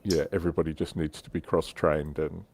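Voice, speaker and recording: Low-pitched man chatting. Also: level tone, fairly quick, creaky, a little mellow, breathy edge, no room sound. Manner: explanatory, conversational. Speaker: livestream host. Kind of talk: casual discussion of military history and tabletop wargaming.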